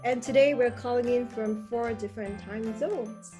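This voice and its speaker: A woman's voice: on the high side, steady tone, moderate pace, dark tone, faint music behind. A young woman from Singapore hosting a casual group chat on a video call, guiding it with questions. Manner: guides the talk with questions. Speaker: host.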